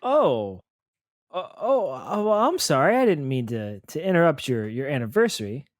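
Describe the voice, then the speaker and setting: A man's voice: fairly high pitch, very animated, moderate pace, no echo, studio quality. A man voicing a courteous, folksy middle-aged radio DJ character, speaking hesitantly. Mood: polite, hesitant.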